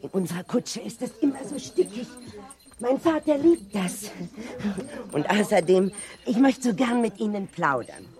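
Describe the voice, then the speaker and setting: Prim, scolding gentlewoman, low and animated. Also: moderate pace. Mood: insistent, chatty. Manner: sharp-tongued, censorious, emphatic. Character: prim, scolding, indignant old-fashioned moralizing gentlewoman. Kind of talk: German radio drama acting.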